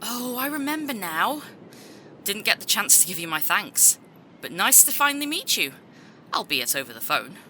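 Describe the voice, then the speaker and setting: Brash, sharp-tongued female agent: very bright, forceful, animated, blunt; audio drama. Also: mid-range voice, moderate pace, slight background noise. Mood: guarded, friendly. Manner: blunt, challenging.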